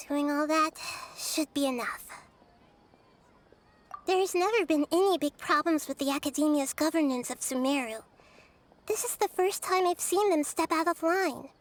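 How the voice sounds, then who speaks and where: Woman acting a young girl goddess, high soft voice, gentle, wise, measured formal game dialogue, music underneath. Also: slight background noise, natural voice. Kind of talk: scripted video game character dialogue.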